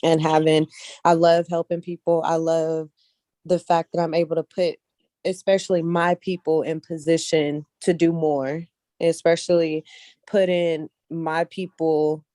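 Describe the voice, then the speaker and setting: A woman's voice: low voice, dark tone, dry recording, clean studio sound. A woman speaking casually and earnestly as an interview guest, often repeating phrases for emphasis. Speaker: guest casting director, actress and model.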